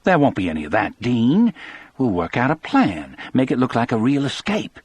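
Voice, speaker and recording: A man's voice: medium pitch, wildly swooping pitch, normal speed, a little mellow, slightly rough, voice pushed up. A man voicing a fierce, determined and smart wife in an audiobook, emphatic and indignant. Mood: determined, urging.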